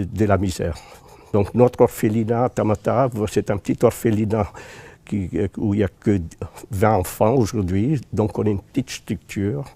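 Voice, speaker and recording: A man from Madagascar speaks as a charity president in an informal testimonial. His voice is fairly low, dark and full of fry, and he hesitantly repeats words while searching for the next. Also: dry recording.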